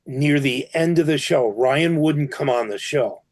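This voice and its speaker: A man's voice: mid-range voice, a little slow, no room sound. Man telling a story, dry close sound. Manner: animated anecdote-telling. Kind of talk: casual storytelling.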